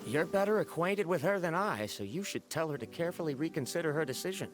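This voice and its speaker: A man's voice: on the high side, expressive, quick pace, music in the background, lightly acted. A man voicing a frank, stubborn, learned scholar in a video game, formal, precise and argumentative. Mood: curt. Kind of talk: video game character acting.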